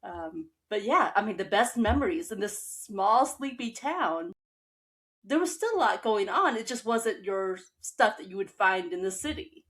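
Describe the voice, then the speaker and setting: A woman's voice: medium pitch, expressive, measured pace, a bit bright. A woman from Texas and the Midwest hosting an interview in a casual, reflective conversational style. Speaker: interview host.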